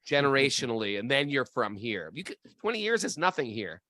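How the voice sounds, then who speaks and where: A man co-hosting a relaxed spiritual discussion, thinking aloud in a musing way with frequent restarts. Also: medium pitch, fairly quick, no room sound. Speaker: podcast co-host. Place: home area in New England.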